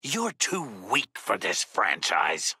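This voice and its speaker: Sharp raspy slasher villain. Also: male voice, fairly low pitch, wildly swooping pitch, dry recording, clean studio sound, heavily acted. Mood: mocking threat. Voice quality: raspy, gravelly, harsh. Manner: mocking, drawn-out threats. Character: sharp, mocking masked slasher killer, male, gleefully threatening. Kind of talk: video game villain voice lines.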